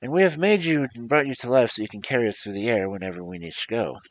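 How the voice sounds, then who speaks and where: A man's voice: mid-range voice, fast, pitched above the performer's own voice, lightly acted, low-quality recording. A man voicing a young boy who calls out urgently and gives commands. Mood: explaining. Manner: urgent, calling out.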